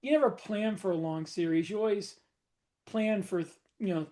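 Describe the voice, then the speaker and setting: A man co-hosting a podcast chats in a relaxed way and often breaks into small laughs. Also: high voice, normal speed. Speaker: podcast co-host, author. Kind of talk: casual panel chat.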